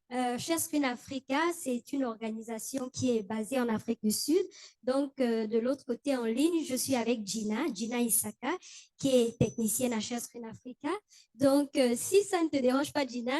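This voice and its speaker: Woman coordinator giving a talk, kinda hesitant, highish mellow voice, dry sound. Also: no echo. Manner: plain, conversational, speaks with some hesitation. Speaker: coordinator of the organization. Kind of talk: formal presentation speech.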